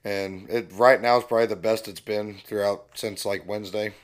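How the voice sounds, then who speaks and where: A young man co-hosting a casual podcast, hoarse from losing his voice, easygoing and agreeable. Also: lowish voice, steady tone, moderate pace. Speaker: podcast co-host. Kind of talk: casual chat.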